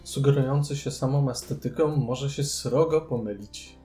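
A man's voice: medium pitch, moderate pace, mellow, faint music behind. A man chatting casually about board games as a podcast co-host. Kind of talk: casual board game discussion.

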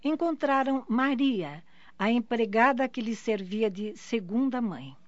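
A woman's voice: fairly high pitch, animated, a little slow, no room sound. A woman reading scripted narration for a radio drama. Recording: dull lo-fi, noisy background.

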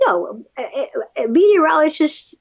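A woman with a husky voice chatting casually as a podcast guest, musing aloud with firm opinions and rhetorical questions. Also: high-pitched, very animated, a little slow, highs cut off. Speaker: podcast guest reacting to research studies. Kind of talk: casual conversational commentary.

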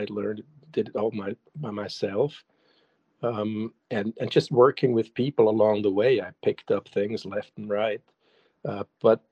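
A man's voice: fairly low pitch, husky, no room sound, highs cut off. A man whose first language is German, a songwriter answering interview questions casually, hesitant with many restarts and fillers. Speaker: songwriter and guest.

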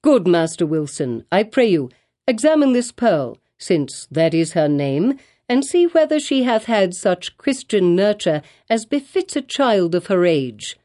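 A woman's voice: mid-range voice, very animated, measured pace, dark tone. A woman voicing a stern, pompous elderly male colonial governor in archaic speech.

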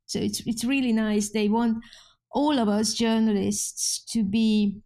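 A woman's voice: medium pitch, creaky, darkish tone. A Hungarian woman in her fifties with a Hungarian accent, a journalist telling her story as a podcast guest in loose conversational speech. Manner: conversational, many restarts, frequent laughter. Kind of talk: podcast interview.

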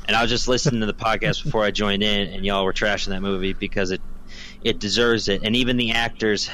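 A man's voice: fairly low pitch, steady tone, fast, pushed and bright, low-quality recording. A man chatting casually as a podcast guest, giving his opinions in a rambling way with emphatic repetition. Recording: clear background noise.

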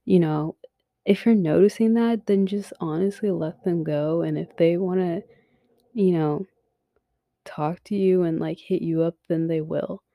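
A woman's voice: fairly low pitch, unhurried, warm tone, dry recording. A young woman hosting a podcast, giving frank advice in a casual monologue full of fillers and swearing, sometimes dropping to a whisper, her voice worn as she loses it. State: losing her voice. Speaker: podcast host.

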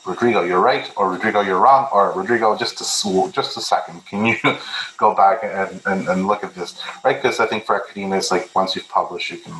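A man's voice: low-pitched, a little fast, fairly bright tone, slight background noise. A young man from Peru, a native Spanish speaker, talking earnestly as an interview guest and often repeating words as he starts a thought. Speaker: guest researcher. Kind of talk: casual interview talk. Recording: echoey room.

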